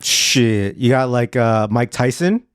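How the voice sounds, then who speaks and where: A man hosting a podcast, talking in a relaxed, chatty way that is full of "like" and "you know". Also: mid-range voice, slow, smooth, no echo, studio quality. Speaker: podcast host. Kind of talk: casual chat. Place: podcast studio.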